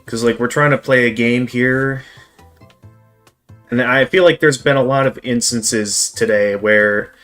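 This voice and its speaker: A man's voice: medium pitch, fairly even intonation, fairly bright tone, soft background music. Easygoing man hosting a game stream. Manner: measured, lots of "like" and "again". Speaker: streamer host. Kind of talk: casual livestream chat.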